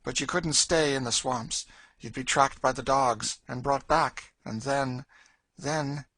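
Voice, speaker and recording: Man voicing a bitter woman, raised and forceful. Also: mid-range voice, takes it slowly, no room sound, pitched above the performer's own voice, exaggerated voice. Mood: dry, bitter. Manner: dry, emphatic, fatalistic. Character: bitter, despairing, world-weary enslaved woman. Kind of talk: audiobook character acting.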